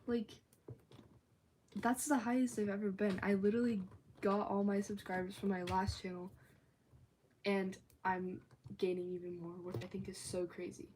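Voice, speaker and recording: A teenage girl chatting on her own livestream, rambling loosely with lots of "like" and now and then dropping into a soft whisper. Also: mid-range voice, flat delivery, moderate pace, pauses often, slight background noise, rough recording. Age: teens. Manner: rambling, chatty, filler-heavy talk with frequent "like". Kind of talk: casual livestream chat. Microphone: phone or tablet.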